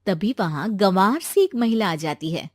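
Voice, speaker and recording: A woman's voice: mid-range voice, expressive, moderate pace, no room sound, studio quality. A woman narrating a scripted family moral story.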